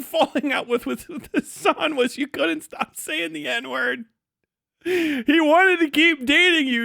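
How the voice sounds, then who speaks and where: A man's voice: extremely high pitch, vocal fry, pushed and bright, no echo, clean studio sound. A man doing casual livestream commentary, ranting in a profane, sarcastic and exasperated way. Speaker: livestream host reacting to other streamers.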